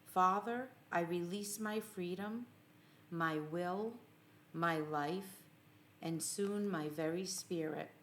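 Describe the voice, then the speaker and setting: A woman's voice: on the low side, slow pace, slight background noise. A woman voicing a solemn, resolute man in anguished prayer, grave and poetic, in an audiobook. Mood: resolute surrender.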